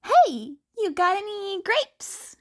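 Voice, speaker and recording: Woman voicing a high, playful teen-story prankster. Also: sing-song, takes it slowly, a bit bright, heavily acted. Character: playful, chirpy online prankster reciting a silly rhyme.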